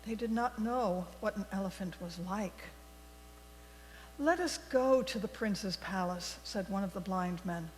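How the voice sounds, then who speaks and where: A woman reading a story aloud to a congregation in a deliberate, expressive storybook manner. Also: medium pitch. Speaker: worship leader telling a story for all ages. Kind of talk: read-aloud storytelling in a church service. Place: large indoor congregation space. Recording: echoey hall with mains hum.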